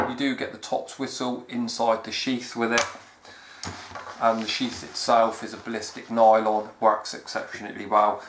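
A man with a low, fairly flat voice talks through a knife review in a relaxed, enthusiastic way. The room is echoey, and a faint hiss of background noise sits behind him. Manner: plain-spoken, enthusiastic, casual slang. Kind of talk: casual product review. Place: indoors at a wooden table.

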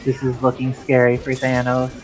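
A man's voice: mid-range voice, completely flat delivery, normal speed. A man giving explanatory, articulate live play-by-play of a fighting game as an esports commentator. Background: game music under the commentary.

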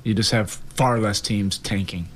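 A man's voice: lowish voice, fairly even intonation, measured pace, a bit bright, a little hiss or hum. A man in his forties, a head coach answering questions at a press conference, thoughtful, with many fillers and repeated words. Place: press room in front of sponsor backdrop. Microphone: table mic.

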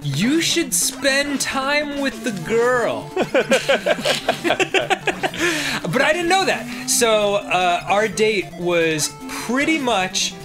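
A man's voice: extremely high pitch, very slow, long pauses. A man from New Jersey, co-hosting a gaming show and telling casual stories with lots of laughter. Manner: animated, laughs often, acts out quoted voices. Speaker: co-host. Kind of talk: casual storytelling while gaming. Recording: game music behind. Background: video game music.

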